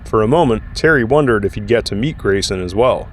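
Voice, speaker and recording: A man with a fairly low voice narrates a dramatic story from a script. Faint city and vehicle ambience runs behind his reading. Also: lively intonation, fairly quick, a little hiss or hum.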